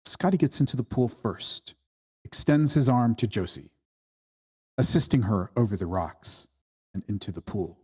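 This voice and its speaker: A man's voice: fairly low pitch, expressive, normal speed, darkish tone, slightly rough. A man reading out scene directions as narrator at a screenplay table read.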